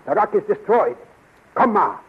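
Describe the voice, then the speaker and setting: An actor playing a shrewd, stern princely commander in a 1930s radio serial, decisive and formal, with a husky voice. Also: male voice, sits high, very animated, normal speed, noisy background. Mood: commanding. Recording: tinny, narrow 1930s radio recording.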